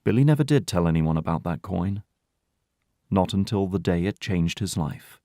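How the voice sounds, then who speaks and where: A man's voice: low-pitched, lively intonation, moderate pace, dark tone, dry recording, clean studio sound. A man narrating an audio drama in a scripted, dramatic storytelling style.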